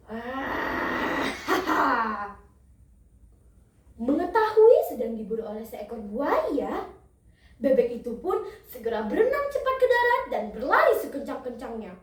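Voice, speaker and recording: A young female telling a children's fable theatrically, with dramatic drawn-out emphasis. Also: sits high, very animated, a little mellow. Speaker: storytelling contestant. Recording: echoey room, distant microphone.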